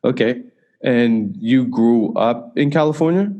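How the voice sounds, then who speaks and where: A man's voice: mid-range voice, unhurried, long reverb tail, highs cut off. A man from Bermuda hosting a podcast, asking questions in a casual interview style. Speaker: podcast host.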